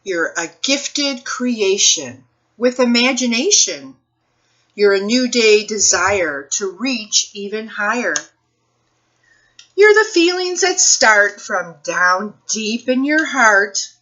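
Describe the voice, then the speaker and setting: A woman hosts a storytime for young children and their families. Her voice is bright and forward, with an expressive, unhurried delivery. The recording is slightly dull. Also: a little high. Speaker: children's librarian hosting storytime. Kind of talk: picture book read-aloud and talk to families.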